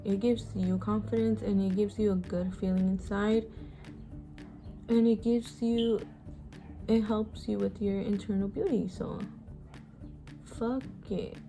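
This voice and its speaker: A woman's voice: mid-range voice, level tone, unhurried, long pauses, dark tone, faint music behind, low-quality recording. A woman in her twenties, born in Mexico and raised in the US, chatting frankly and casually while doing her makeup, swearing freely and sighing often. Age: 20s. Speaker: beauty vlogger. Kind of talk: casual get-ready-with-me chat.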